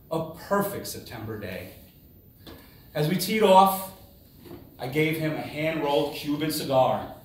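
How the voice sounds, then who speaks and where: A man giving a rehearsed, humorous storytelling speech in a theatrical manner, with dramatic pauses and exclamations. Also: mid-range voice, slight background noise. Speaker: contest speaker. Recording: very echoey room, distant microphone.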